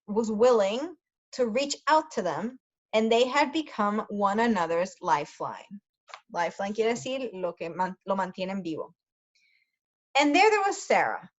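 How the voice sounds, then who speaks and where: A woman reading a story aloud as a teacher, carefully pausing to explain words for language learners. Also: fairly high pitch. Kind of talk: read-aloud storytelling with brief translations. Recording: dull lo-fi sound.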